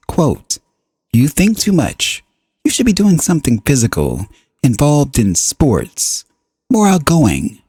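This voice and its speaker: A man reading a book aloud as scripted narration, with measured, deliberate phrasing and pauses. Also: medium pitch, mellow, no room sound, clean studio sound. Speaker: audiobook reader.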